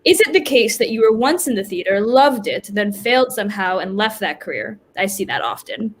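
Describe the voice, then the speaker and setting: A woman playing Death as a formal, dutiful, stage-struck figure in a comic play reading, with a measured, literal way of talking. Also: mid-range voice, big pitch swings, lightly acted. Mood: politely skeptical. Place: remote virtual reading. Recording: noisy online video call audio.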